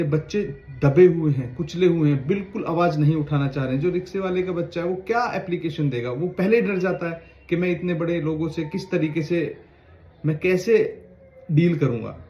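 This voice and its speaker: A man's voice: on the high side, normal speed. A man, a lawyer, making an earnest, pleading direct-to-camera appeal that carries a warning. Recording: roomy, dull lo-fi sound.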